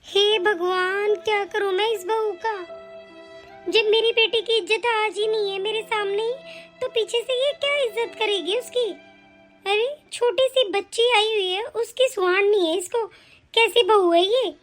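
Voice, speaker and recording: A soft-hearted old grandmother who frets and speaks gently and slowly to her family, as a woman acts her out. Her voice sits high and a little strained. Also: fairly even intonation, soft background music. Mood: fretful. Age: older. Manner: gentle, fretful. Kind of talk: comic family skit acting.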